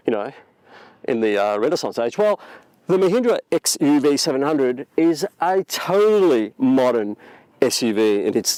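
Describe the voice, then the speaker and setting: Aussie bloke chatting through a car walkaround, kinda halting, medium pitch, no echo at all. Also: male voice, a little mellow. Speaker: car review presenter. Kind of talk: casual unscripted walkaround presenting.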